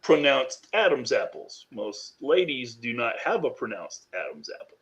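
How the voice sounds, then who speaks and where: A deep-voiced man from North Carolina, a podcast guest speaking candidly and reflectively, with frequent "you know" fillers. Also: sing-song, moderate pace, a bit bright, rough recording. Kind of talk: candid interview conversation.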